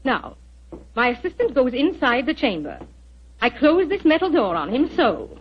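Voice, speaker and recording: A woman's voice: high-pitched, big pitch swings, normal speed, husky, exaggerated voice. An actress playing a self-assured, formal woman inventor who speaks with theatrical precision in a 1940s radio drama. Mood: commanding. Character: Doctor Paradise, brilliant, self-assured woman inventor and showwoman. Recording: dull 1940s radio recording.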